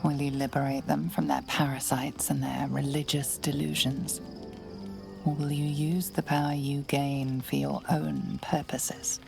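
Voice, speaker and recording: Deep, creaky, ominous female narrator. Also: flat delivery, exaggerated voice. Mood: ominous, hushed. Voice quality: low, gravelly. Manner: deliberate, portentous. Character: brooding, ominous female storyteller narrating a fantasy game. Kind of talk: scripted second-person game narration. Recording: game narration over music.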